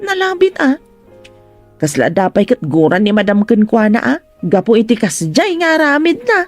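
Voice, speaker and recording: A woman's voice: fairly high pitch, sing-song, normal speed, faint music behind. A woman acting in a radio drama as a curious, skeptical household worker with a gossipy manner. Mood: curious, doubtful.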